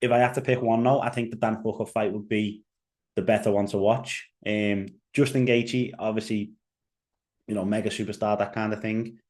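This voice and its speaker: A man's voice: fairly low pitch, steady tone, moderate pace, darkish tone. A young man with a Liverpool accent giving casual solo commentary as a podcast host, rambling with fillers and restarts. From England.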